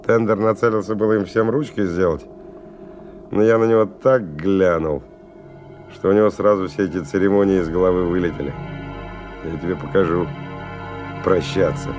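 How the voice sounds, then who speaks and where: A man's voice: low voice, fairly even intonation, moderate pace, long pauses, a little mellow, lightly acted. An actor playing a tough, cynical stalker who tells his story in a colloquial, sardonic radio-drama monologue with dramatic swings. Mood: sardonic, animated. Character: tough, cynical male stalker narrating his dangerous expedition. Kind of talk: 1990 radio drama first-person monologue. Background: music.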